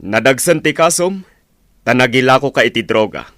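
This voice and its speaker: An actor with a Filipino accent playing a firm, reproachful police officer in a radio drama. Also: male voice, mid-range voice, lively intonation, moderate pace, a bit bright, no room sound, studio quality, natural voice. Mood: stern, reproachful. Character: stern, lecturing male police officer.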